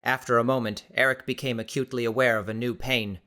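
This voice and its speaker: A man reading dramatic scripted audiobook narration in a measured, suspenseful manner. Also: medium pitch, little pitch movement, bright, forceful tone.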